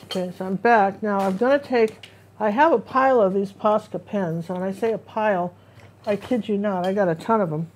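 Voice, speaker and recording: A woman's voice: mid-range voice, normal speed, darkish tone. A woman teaching a live painting lesson, chatting loosely and trailing off as she works. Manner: chatty, rambling, self-interrupting. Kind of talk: casual live painting demo.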